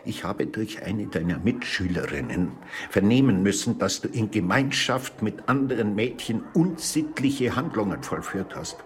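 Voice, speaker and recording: An actor playing a stern head teacher who speaks in a stiff, formal and severe way in a radio drama. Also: male voice, lowish voice, lively intonation, normal speed, lightly acted. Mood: severe, reproachful.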